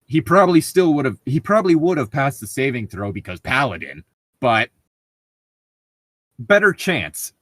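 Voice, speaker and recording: A man's voice: mid-range voice, very animated, normal speed, pauses often, a bit bright, no echo. A man co-hosting a podcast, chatting casually and reading stories aloud. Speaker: podcast co-host.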